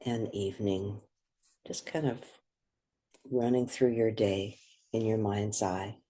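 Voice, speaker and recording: Meditation guide, gentle delivery, slow pace, breathy soft tone, soothing. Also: mid-range voice, fairly even intonation. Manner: soothing, gentle, unhurried with pauses.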